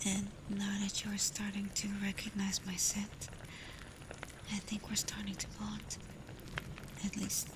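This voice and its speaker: A woman playing a tender, protective alpha werewolf in an intimate ASMR roleplay, speaking softly and breathily, often in a whisper. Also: mid-range voice, lightly acted. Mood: shy, affectionate. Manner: slow, gentle, hesitant when confessing feelings. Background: ambient storm noise.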